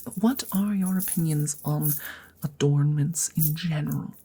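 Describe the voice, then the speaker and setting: A woman voicing an awkward, courteous female dragon in an ASMR roleplay, speaking softly and haltingly, often dropping into a breathy whisper. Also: deep voice, exaggerated voice. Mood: coaxing, shy. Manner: hesitant, halting, formal and polite.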